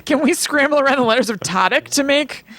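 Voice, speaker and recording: Animated woman co-hosting a podcast. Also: high-pitched, fast talker. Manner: playful, riffs along with jokes. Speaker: podcast co-host. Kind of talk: casual chat about movies and comedy.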